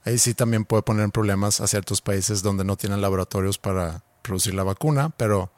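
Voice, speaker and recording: A man's voice: on the low side, fairly even intonation, fairly quick, a little mellow, no echo. A man co-hosting a casual podcast, mostly answering briefly with dry remarks. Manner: short prompting replies, dry remarks. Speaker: podcast co-host. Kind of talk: casual chat.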